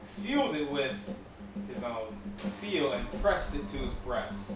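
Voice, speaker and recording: A man's voice: medium pitch, sing-song, takes it slowly. A man speaking as a podcast guest, moving between reading aloud and casual talk. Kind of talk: reading aloud from an ancient epic and casual chat. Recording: very echoey, distant mic, music behind.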